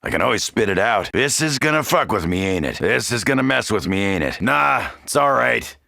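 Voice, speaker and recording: Game casting: brash, wisecracking American macho grunt, forceful gravel voice, dry close sound. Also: male voice, mid-range voice, expressive, brisk, no echo. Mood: gruff, casual. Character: gruff, cocky macho soldier fighting zombies. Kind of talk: video game character voice lines.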